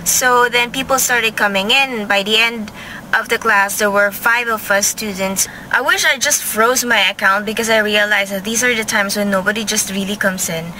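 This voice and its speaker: A young Filipino woman vlogging to the camera from her car, sounding sleepy and a bit hoarse, chatting in a meandering way and correcting herself as she goes. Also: mid-range voice, fairly even intonation, moderate pace, sharp tone, a little hiss or hum, poor audio. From Philippines. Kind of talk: casual vlog talk to camera. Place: inside a parked car. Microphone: handheld camera close to face.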